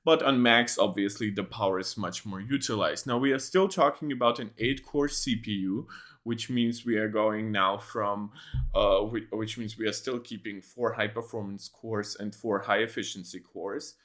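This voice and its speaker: A man's voice: lowish voice, fairly even intonation, moderate pace, fairly bright tone. A young man hosting a casual tech reaction livestream from a home setup on a desk mic, rambling with many ums and restarts.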